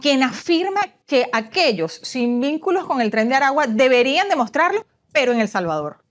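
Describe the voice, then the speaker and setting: A woman host moderating a news panel, asking crisp, formal questions. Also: high voice, big pitch swings, normal speed.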